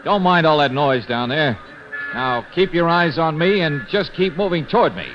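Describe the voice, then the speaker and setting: Private eye, male actor, 1940s radio: tough, sardonic, clipped, very expressive pitch, muffled vintage sound. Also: normal speed, natural voice. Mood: urgent, coaxing. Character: tough, wry male private detective for hire. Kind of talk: 1940s radio detective drama acting. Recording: dull vintage radio recording, music behind.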